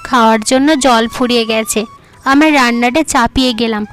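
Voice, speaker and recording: A woman voicing a patient, kind elder wife in a village cartoon tale, speaking gently and coaxingly. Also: on the high side, steady tone, fairly quick, soft background music, close to an everyday voice. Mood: gentle.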